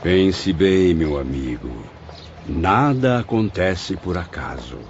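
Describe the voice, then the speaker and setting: A man as a deep, expressive consoling spirit. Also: slight background noise. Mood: consoling reasoning. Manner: expressive, theatrical, measured reasoning. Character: male spirit narrator, a consoling, reasoning friend and writer. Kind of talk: dramatized audiobook narration and dialogue. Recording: dull lo-fi audio with music behind.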